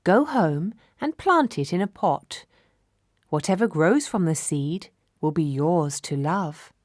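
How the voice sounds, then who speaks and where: A woman voicing a wise, kind old woman in a storybook tale, soft, gentle and unhurried. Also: lowish voice, animated, no echo, studio quality.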